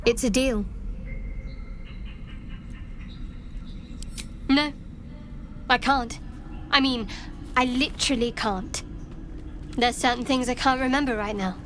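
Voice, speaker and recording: A woman playing a guarded, devout cleric in a video game, speaking in a measured, solemn way. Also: fairly high pitch, fairly bright tone, a little hiss or hum. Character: guarded, devout female cleric keeping secrets of her goddess. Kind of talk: video game character dialogue.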